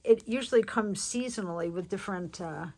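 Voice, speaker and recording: A woman's voice: medium pitch, a little mellow. A woman showing off a handmade journal in casual show-and-tell narration, chatty and congested from allergies. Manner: chatty and unhurried, with many fillers and restarts.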